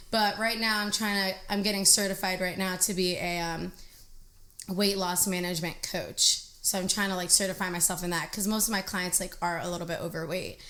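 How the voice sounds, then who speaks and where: Bright-voiced woman in her twenties, animated. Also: medium pitch. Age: 20s. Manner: animated, laughing, says "like" often. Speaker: podcast guest, trucker and fitness trainer. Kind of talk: casual interview chat. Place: living room studio on a leather couch. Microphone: desk mic on a stand.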